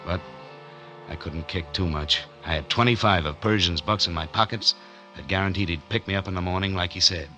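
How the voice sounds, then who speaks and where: A man's voice: low-pitched, a little fast, close to an everyday voice. An actor playing a broke, wry drifter who tells his story in laconic hard-boiled 1940s radio noir style. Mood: brooding narration. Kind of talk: 1940s radio noir acting and first-person narration. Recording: vintage 1940s radio recording with music behind.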